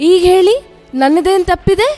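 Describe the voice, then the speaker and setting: A woman's voice: very high-pitched, normal speed. A woman playing a wronged, motherly rain goddess in an audio drama, pleading with indignant rhetorical questions. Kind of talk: Kannada audio drama monologue. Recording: loud music under the voice. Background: dramatic background music.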